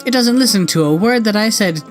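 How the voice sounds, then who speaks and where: A man's voice: sits high, animated, brisk, faint music behind, voice pushed up. A man voicing a mischievous masked imp child villain from a video game, mocking and gloating. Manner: mocking, gloating, with a written-out giggle.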